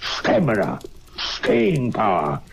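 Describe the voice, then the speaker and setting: Creaky, slow-talking sly old doctor. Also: male voice, on the low side, wildly swooping pitch, full character voice. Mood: sly, hearty. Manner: hearty, pompous, chuckling between deliberate phrases. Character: genial, sly older doctor mentoring a student. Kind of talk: old-time radio drama acting. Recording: hissy old radio drama recording.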